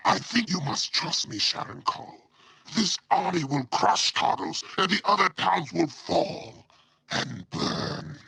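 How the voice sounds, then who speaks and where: A man voicing a brutal, boastful monster warlord in a video game, with a gravelly growl and menacing, contemptuous delivery. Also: a little high, pushed and bright, full character voice. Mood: sneering menace. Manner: menacing, contemptuous, slow, drawn-out threats. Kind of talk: video game villain voice acting.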